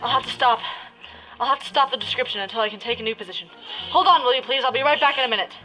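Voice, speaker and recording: A woman's voice: on the high side, racing, bright, forceful tone, low-quality recording. A woman playing an earnest, increasingly alarmed radio field reporter, giving dramatic live commentary in a parody of old radio drama. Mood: earnest, hurried. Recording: music behind the voice.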